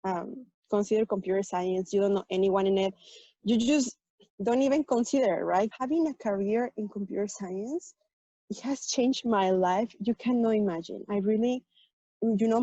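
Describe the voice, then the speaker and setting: A woman from Mexico speaks English with a Mexican accent, Spanish being her first language. Her voice is mellow and relaxed at a medium pitch, and she explains things patiently with small restarts. The sound is dry and a bit dull, as from a video call. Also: no room sound. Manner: earnest, explanatory, with fillers and restarts. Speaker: tech program manager, panel guest. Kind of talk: personal story on a virtual panel.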